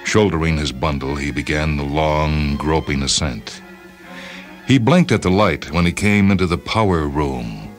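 A man's voice: very deep voice, animated, a little slow. A man hosting and reading short stories as scripted literary narration. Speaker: host and story reader. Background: music and sound cues.

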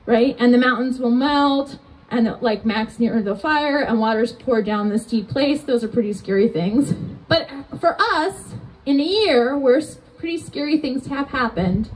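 A woman's voice: sits high, moderate pace, poor audio. A woman, preaching a conversational sermon outdoors at a church entrance into a mic on a stand, often asking the congregation rhetorical questions. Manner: animated hand gestures while preaching. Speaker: pastor.

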